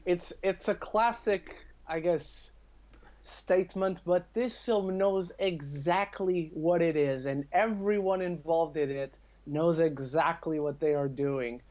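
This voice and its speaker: A man's voice: a little high, takes it slowly, darkish tone. A man speaking as a podcast guest, giving his opinions on films in casual chat. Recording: dull lo-fi call-like audio.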